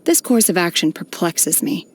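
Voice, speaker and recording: Stoic young female exorcist, lowish voice, formal, measured, detached, melancholy undertone, video game character. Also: lively intonation. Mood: cool, perplexed. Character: stoic, solemn young adepti-trained exorcist woman, aloof yet melancholy.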